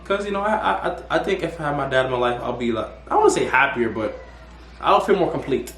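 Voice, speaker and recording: A man's voice: medium pitch, animated, quick pace, fairly bright tone, slight background noise. A young man hosting a casual interview, rambling and restarting his questions. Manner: rambling, restarts and repeats words. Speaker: host. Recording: echoey room.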